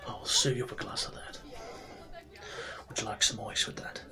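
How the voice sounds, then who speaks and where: A man playing a friendly, easygoing tavern barkeep in a soft-spoken, chatty fantasy ASMR roleplay. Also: moderate pace, a crowd in the background, lightly acted. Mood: welcoming, easygoing. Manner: informal, chatty, reassuring. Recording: soft background music and tavern ambience. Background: music, tavern ambience.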